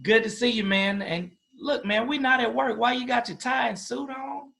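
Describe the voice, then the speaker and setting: A man's voice: very high-pitched, normal speed, gravelly, pushed and bright. A man hosting a school podcast, chatting casually with a joking, teasing, familiar manner. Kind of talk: casual interview chat.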